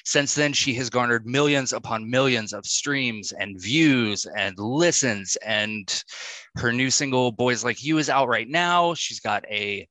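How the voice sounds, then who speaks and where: Male podcast host, gushing and enthusiastic, slow-paced delivery, bright forward voice, dry close sound. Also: mid-range voice, no room sound, rough recording. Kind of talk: casual interview chat.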